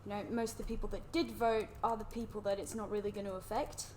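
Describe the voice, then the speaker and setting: A woman's voice: mid-range voice, steady tone, fast talker, darkish tone, slight background noise. A young woman from Switzerland, an international student, explaining at length in casual podcast chat with many fillers. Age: young adult.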